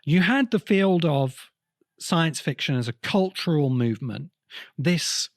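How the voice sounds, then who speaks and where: A man hosting a podcast, delivering an explanatory cultural history monologue with emphatic, halting phrasing. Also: fairly high pitch, animated, dry recording, clean studio sound. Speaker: podcast host.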